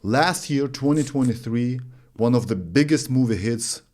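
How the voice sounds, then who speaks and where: A man's voice: mid-range voice, normal speed, darkish tone. A man in his thirties from Lithuania, a native Russian speaker, hosting a studio podcast interview and speaking earnestly with frequent restarts. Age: 30s. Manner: earnest, searching for words, many restarts. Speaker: podcast host. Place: studio with green curtain. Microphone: studio mic on boom arm.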